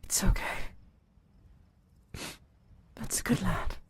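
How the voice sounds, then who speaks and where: A woman playing a monstrous huntress near death in a gothic audio drama, husky and breathy, speaking in a slow, halting, weary way. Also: in a whisper, natural voice. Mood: weak, tender. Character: dying monstrous hunter woman, bitter yet tender kin.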